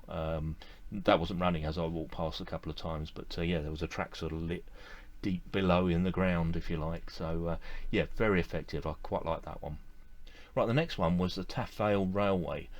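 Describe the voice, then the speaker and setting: Low-voiced middle-aged man chatting enthusiastically. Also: normal speed, highs cut off. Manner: relaxed and enthusiastic, with many fillers and repeated words. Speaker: model railway collector vlogger. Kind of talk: casual to-camera review. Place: indoor room with display shelves.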